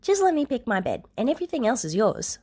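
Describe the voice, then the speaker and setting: A woman's voice: on the high side, sing-song, quick pace, warm tone, breathy edge, voice pushed up. A woman voicing a caring older girl from a story, casual and reassuring, cheerful with an awkward streak. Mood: breezy.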